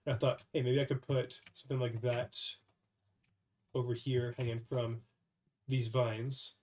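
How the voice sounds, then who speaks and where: Young male game developer, stuffy from a cold, halting casual voice-over at a desk mic. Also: mid-range voice, little pitch movement, a little mellow. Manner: hesitant, many fillers and restarts. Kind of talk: casual devlog screen recording.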